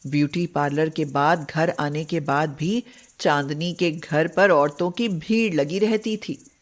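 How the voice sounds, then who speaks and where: A woman narrating a moral storybook tale in a measured, scripted way. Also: low-pitched.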